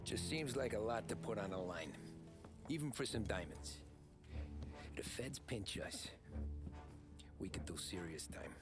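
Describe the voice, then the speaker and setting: A deep-voiced, wary mob driver. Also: male voice, expressive, a little slow, long pauses, over loud music. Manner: wary and doubtful. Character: cautious, uneasy male mob driver. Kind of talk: video game cutscene acting. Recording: game cutscene under very loud score.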